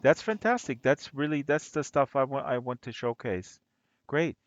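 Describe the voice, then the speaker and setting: A man's voice: mid-range voice, lively intonation, moderate pace. A man with a German accent hosting a casual live stream, joking and teasing his guests as he chats. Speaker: host of a virtual-world tour stream. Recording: dull, lo-fi stream audio.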